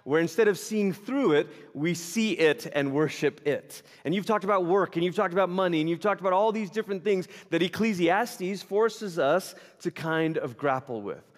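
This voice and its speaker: A man from Malaysia preaching a sermon in a church, telling animated, self-deprecating stories with humor. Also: high-pitched, normal speed, few pauses. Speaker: pastor. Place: church sanctuary. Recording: long reverb of a large hall.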